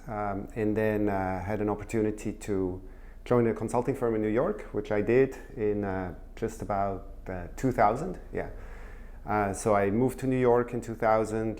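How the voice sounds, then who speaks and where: A middle-aged man from Switzerland, a guest giving measured interview answers full of filler sounds. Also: fairly low pitch, darkish tone. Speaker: guest, former finance executive.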